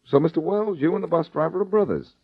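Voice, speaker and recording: An American actor playing a cocky, wisecracking amateur detective in 1940s radio style, flippant and quick-witted. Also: male voice, on the high side, vocal fry, slightly rough. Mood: cool, probing. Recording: dull, lo-fi old radio recording.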